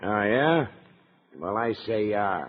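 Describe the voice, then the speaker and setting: A man's voice: on the low side, animated, slow. A man playing a sly, bullying kidnapper boss in an old-time Western radio serial. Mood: menacing. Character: menacing male kidnapper gang boss, threatening and sly. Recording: noisy, tinny old radio recording.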